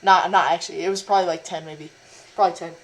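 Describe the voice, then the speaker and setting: A woman with a lowish voice questions a stranger over a video chat. She rambles, restarts her sentences, and leans on filler words. A faint hiss sits under the call. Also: steady tone, fast talker, low-quality recording. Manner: rambling, stumbling, with many likes and restarts. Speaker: interviewer, cosplayer. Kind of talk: casual online video chat.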